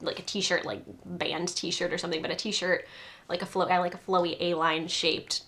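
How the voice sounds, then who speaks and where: A woman's voice: fairly low pitch, flat delivery, moderate pace, a bit bright. A woman with a cold and a congested voice, chatting casually to camera as a crochet designer and podcast host, rambling and laughing often. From New England.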